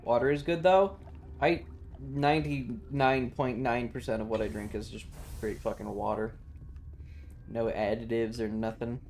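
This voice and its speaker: Gravelly-voiced man streaming a game. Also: medium pitch, fairly even intonation, measured pace, darkish tone, slight background noise. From Southern United States. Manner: profane, slangy, jokey. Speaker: livestreamer. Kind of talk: casual game stream chat and commentary.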